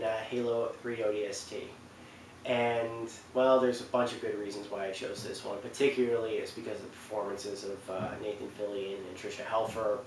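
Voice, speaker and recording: A man in his twenties giving casual, unscripted countdown commentary, halting and rambling, from a couch in a living room. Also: medium pitch. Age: 20s. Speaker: video presenter. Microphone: camera mic at a distance. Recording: roomy, distant mic, faint noise.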